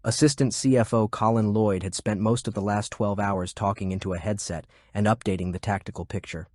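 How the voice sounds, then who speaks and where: A man with a low voice reads scripted fiction as an audiobook narrator. His delivery is measured and serious, and the sound is close and dry. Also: fairly even intonation, a little mellow, no room sound.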